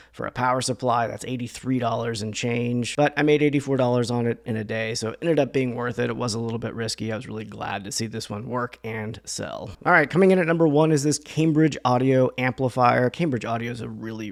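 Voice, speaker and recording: A man talking casually to his viewers in a rambling, chatty way, his voice hoarse and nearly gone. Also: mid-range voice. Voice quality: gravelly. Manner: rambling, chatty, many fillers and restarts. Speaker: reseller vlogger. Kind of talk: casual talk to camera.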